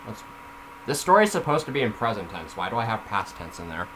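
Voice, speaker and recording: A man's voice: fairly low pitch, very animated, quick pace, background noise. A man reading a story aloud casually, with informal self-critical asides off the script. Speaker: reader of their own story.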